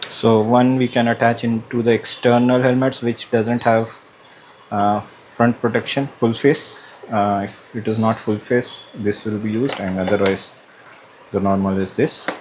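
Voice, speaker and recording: Slow-paced man, casual unboxing, noisy background. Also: mid-range voice, fairly even intonation. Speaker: vlogger unboxing a product. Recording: clear background noise, room ambience.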